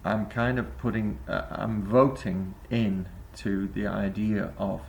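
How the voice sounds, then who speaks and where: A man's voice: on the low side, flat delivery, slow pace, gravelly, slight background noise. A man giving a reflective spoken essay to camera as a vlogger, rambling and hesitant with many filler sounds. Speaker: vlogger and busking singer.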